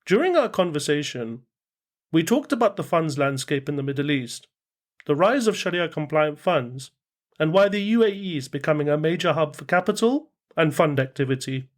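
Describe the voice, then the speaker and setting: A man's voice: fairly high pitch, expressive, moderate pace. A man hosting a podcast, introducing a guest and asking questions in a friendly way, with casual fillers. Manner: friendly, loose with fillers like "like" and "you know". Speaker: podcast host.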